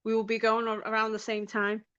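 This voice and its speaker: A woman's voice: a little high, completely flat delivery, brisk, a bit bright, smooth, dry recording. A woman with a Liverpool accent hosting a casual live stream, chatty and laughing often as she talks to her viewers. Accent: Liverpool (Scouse). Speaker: livestream host. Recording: dull lo-fi stream audio.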